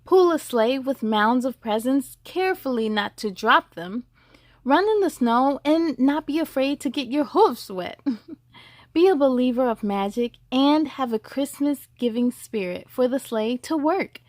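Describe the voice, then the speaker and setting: A woman with a high voice hosts a read-aloud for children. She reads expressively and adds animated asides for her young listeners. The sound is dry, with very little room. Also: unhurried, dry recording. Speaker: host of children's read-aloud story time. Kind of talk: expressive picture-book reading for children.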